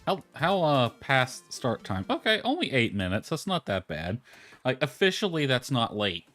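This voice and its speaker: A man's voice: mid-range voice, huge pitch swings, moderate pace, fairly bright tone. Casual male game streamer over background music. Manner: rambling, thinking aloud. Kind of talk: casual live gameplay commentary.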